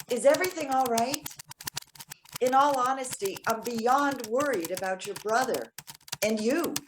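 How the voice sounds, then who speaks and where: A woman's voice: medium pitch, slight background noise, close to an everyday voice, rough recording. An actress playing a lonely, genteel elderly woman in staged readers theater, with prim, old-fashioned, formal phrasing. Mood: worried, hopeful.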